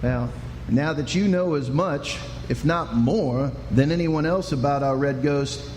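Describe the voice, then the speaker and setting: A man's voice: on the high side, measured pace, darkish tone, close to an everyday voice. An American man playing a kindly, patient Old West sheriff in a radio drama, with a folksy, hesitant drawl. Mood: warm, encouraging. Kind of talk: radio drama acting before an audience. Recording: echoey live hall, faint noise.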